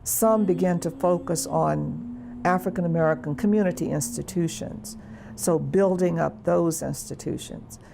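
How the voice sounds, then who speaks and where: Woman expert, heavy vocal fry, mellow tone, storytelling explanation, interview, music underneath. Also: fairly low pitch, moderate pace, a little hiss or hum. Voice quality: very creaky, mellow.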